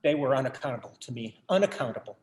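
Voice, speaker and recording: An actor playing a wry, earnest, disillusioned collector and artist, musing in a theatrical staged reading. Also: male voice, mid-range voice, lively intonation, fast talker, a little mellow, natural voice. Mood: bitter, wry.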